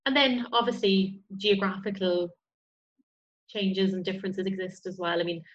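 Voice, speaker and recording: A woman's voice: medium pitch, steady tone, normal speed. A woman explaining her field informally as an interview guest, in a tentative way, on muffled lo-fi audio.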